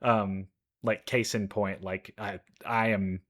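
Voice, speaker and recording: A man giving casual, enthusiastic reaction commentary, halting as he thinks aloud. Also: on the low side, flat delivery, a bit bright. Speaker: reaction video host, gamer.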